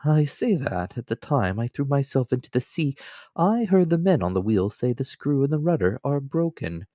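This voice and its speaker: A man voicing a young manservant in an audiobook, unflappably calm, polite, formal and deferential. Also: medium pitch, moderate pace, dry recording, clean studio sound, voice pushed up, natural voice. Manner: polite, formal, addresses master in third person. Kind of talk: dramatic audiobook character reading.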